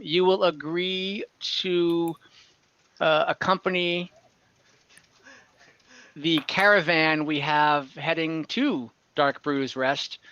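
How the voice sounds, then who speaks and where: A man working as game master, improvising narration for an online tabletop game in a halting way, searching for words. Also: on the high side, sharp tone, smooth, dull audio.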